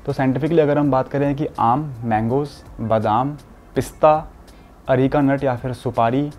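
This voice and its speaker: A man's voice: medium pitch, steady tone, normal speed, lo-fi sound. A young man, a doctor, explaining health advice to camera in an earnest, explanatory way, mixing English medical terms into his talk. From India. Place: furnished studio room with curtains, indoors.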